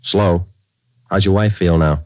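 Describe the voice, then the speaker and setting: Stoic American police sergeant, very low voice, clipped and deadpan narration, muffled vintage radio sound. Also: male voice, measured pace, no room sound. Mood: dry, businesslike. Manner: deadpan, terse, matter-of-fact. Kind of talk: 1940s radio crime drama acting and narration. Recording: muffled old 1940s radio recording.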